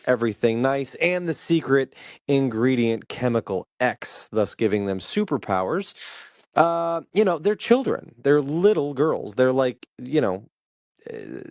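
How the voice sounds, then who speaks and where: A man co-hosting a podcast in a casual, halting, rambling way, thinking aloud as he goes. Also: mid-range voice, darkish tone, no room sound, studio quality. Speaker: podcast co-host.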